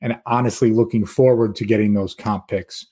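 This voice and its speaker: A man's voice: fairly low pitch, steady tone, moderate pace, warm tone. A man giving casual sports analysis as a podcast guest, explaining his points conversationally.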